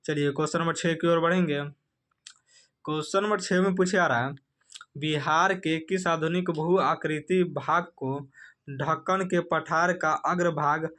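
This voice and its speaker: A man's voice: on the high side, sharp tone. A man giving a quiz lesson as a coaching teacher, reading questions and answers in an emphatic, repetitive instructional way.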